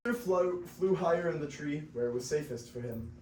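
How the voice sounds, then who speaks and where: Teenage male narrator for school stage reading: higher-pitched, scripted delivery, roomy recording with faint noise. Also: moderate pace, darkish tone. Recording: echoey classroom, distant mic, faint noise.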